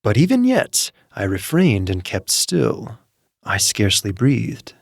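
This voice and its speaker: A man narrating a dark story in a dramatic, slow reading, dropping to hushed murmurs and rising to sudden intense outbursts. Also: on the low side, huge pitch swings, gravelly, no room sound, studio quality. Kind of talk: dramatic audiobook reading for sleep.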